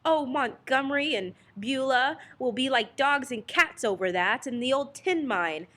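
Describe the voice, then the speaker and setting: A woman's voice: sits high, animated, measured pace, a bit bright, heavily acted. A woman playing a syrupy, scheming belle with honeyed theatrical delivery in a satirical radio play. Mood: sly, theatrical. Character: scheming, syrupy Southern belle in a melodrama parody.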